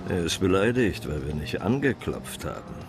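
Hard-boiled cop, low lively voice, blunt, taunting, threatening, radio crime drama. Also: male voice, normal speed. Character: mocking, threatening hard-boiled male police detective.

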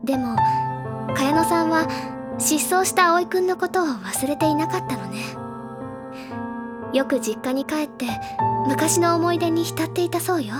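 An actress playing a gentle, bookish teenage schoolgirl in a Japanese audio drama, with soft feminine phrasing. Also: extremely high pitch, expressive, moderate pace. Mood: gentle, wistful. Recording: music behind the voice. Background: clear background music.

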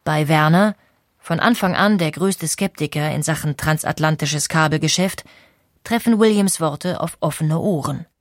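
A woman narrating a history documentary in a clear, composed storytelling manner. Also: deep voice, normal speed, no echo, studio quality.

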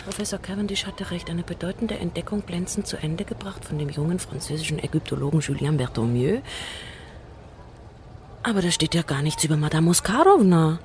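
A woman's voice: on the low side, lively intonation, fairly quick, noisy background, close to an everyday voice. An actress playing a friendly young woman in a German radio play. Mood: surprised reading.

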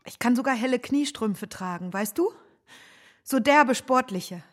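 An actress playing a resolute young widow, proud, tender and plainspoken, in a radio drama. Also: female voice, a little high, animated, measured pace. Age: young adult.

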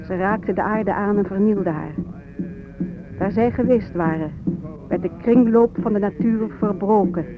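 An actor playing a solemn Native storyteller who recites his people's memory in measured, incantatory verse for a radio play. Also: high voice. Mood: solemn, mournful. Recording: tinny phone-like audio under prominent music.

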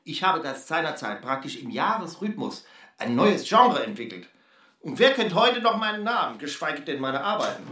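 An actor playing a forgotten, self-important writer, aggrieved and insistent, in a radio play. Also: male voice, on the high side, sing-song, moderate pace, sharp tone. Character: forgotten, self-regarding, aggrieved male experimental radio playwright. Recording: roomy, distant from microphone.

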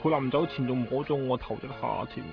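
Simple, naive Hong Kong witness, played by a man, creaky voice, dull radio drama sound. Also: mid-range voice, brisk, close to an everyday voice. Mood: naive, vague. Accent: Hong Kong Cantonese. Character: naive, simple onlooker recounting what he saw. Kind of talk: 1990s Cantonese radio drama acting. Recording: muffled radio recording, music behind.

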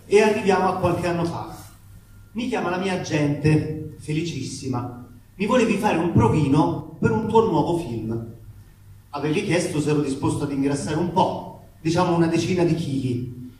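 A man reads a comic letter aloud with expression. His voice sits fairly high and comes from a distance in a strongly reverberant room, with faint noise. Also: animated, normal speed, a little mellow.

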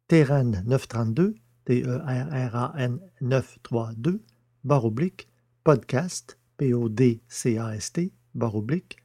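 A man hosting a podcast in a deliberate, scripted presenting style, speaking carefully into a close microphone. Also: medium pitch, dark tone, breathy edge, no echo. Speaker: podcast host.

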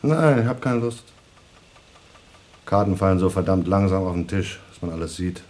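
A man's voice: sits low, lively intonation, normal speed, a little mellow. A man playing a dutiful, sober astronaut on a moon base in a radio play, speaking in a matter-of-fact, wry manner. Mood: subdued, wry.

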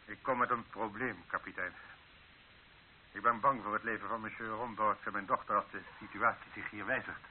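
Low, formal actor as a worried father, muffled recording. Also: steady tone, moderate pace, close to an everyday voice. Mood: worried, deferential. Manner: formal, restrained, curt. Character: worried, dignified middle-aged father of a grown daughter. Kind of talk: radio drama dialogue. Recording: dull narrow-band old radio recording with hiss.

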